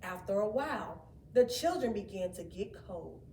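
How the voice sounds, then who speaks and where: A woman reading a children's story aloud in an expressive, dramatic way, with asides to her young listeners. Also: medium pitch, moderate pace. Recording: roomy, distant mic, faint background noise.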